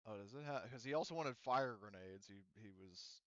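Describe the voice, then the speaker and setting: Low, smooth-voiced man running a game. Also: normal speed, a bit bright, no echo, dull audio. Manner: rambling, jokey, acts out characters in quotes. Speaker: game master. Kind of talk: casual, sweary online tabletop game narration.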